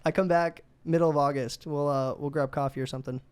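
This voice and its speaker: A woman's voice: sits low, fairly even intonation, fast talker, a little mellow, clean tone. A woman, chatting casually and slangily as a podcast host. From US Midwest. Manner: laughs often, slangy. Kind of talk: casual chat.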